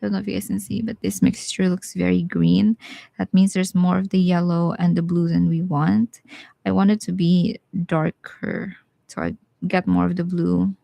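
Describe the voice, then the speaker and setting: A young woman with a Bisaya Filipino accent who teaches watercolor live, talking casually and thinking aloud as she paints, with some quiet asides. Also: on the low side, level tone, moderate pace, creaky, no room sound. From Philippines. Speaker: watercolor artist streaming live. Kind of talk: live painting tutorial with chat replies.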